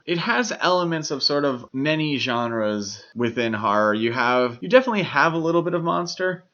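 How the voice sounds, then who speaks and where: A man co-hosting a podcast, discussing films casually with measured, analytical phrasing. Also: on the high side, animated, sharp tone. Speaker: podcast co-host. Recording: dull lo-fi microphone sound.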